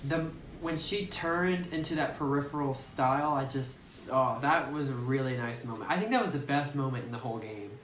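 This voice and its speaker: A let's-play commentator muses aloud in a loose, meandering way, stopping and restarting and leaning on "like" between thoughts. The voice sits at a middle pitch. It was captured on a phone in a room with noticeable echo. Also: normal speed, hardly any pauses, fairly bright tone, slight background noise. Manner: rambling, thinking aloud, heavy use of "like". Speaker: gameplay commentator. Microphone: phone microphone. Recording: roomy echoey phone recording.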